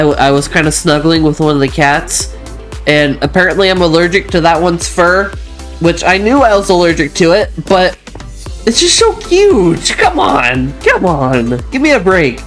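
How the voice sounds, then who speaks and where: A stuffy-sounding host talks through gameplay in a loose, chatty way, often doubling back and restarting sentences. The voice sits at an ordinary middle pitch, and soft music plays underneath. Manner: chatty, rambling, self-correcting with frequent restarts. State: stuffy from mild allergies. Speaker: channel host. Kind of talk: casual gaming commentary over gameplay.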